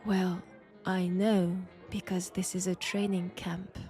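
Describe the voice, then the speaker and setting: A woman performs a flirtatious, mysterious Frenchwoman from a Second World War roleplay. She has a French accent and takes her time, coy and conversational, pausing between thoughts. Soft music plays faintly behind her intimate delivery. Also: fairly low pitch, flat delivery. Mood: curious, teasing. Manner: unhurried, coy, conversational with pauses. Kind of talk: intimate roleplay audio drama.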